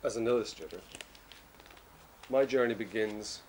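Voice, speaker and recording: A man in his forties giving a measured, explanatory scripted narration as an illustrator presenting his creative process. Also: fairly low pitch, slight background noise, poor audio. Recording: music behind the voice. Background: prominent plucked guitar music.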